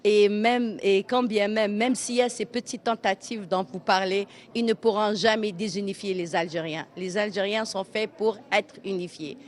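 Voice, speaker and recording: A woman's voice: mid-range voice, steady tone, moderate pace, few pauses. A woman giving a spontaneous interview as a participant at a community event.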